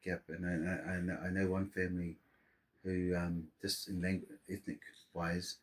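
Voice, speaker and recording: A middle-aged man from Samoa, speaking. His voice sits very low and sounds husky. He talks as a podcast guest, winding through his thoughts with restarts and fillers. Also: flat delivery, moderate pace. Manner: rambling, restarts and fillers, warm laughter-prone storytelling. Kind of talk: casual interview chat.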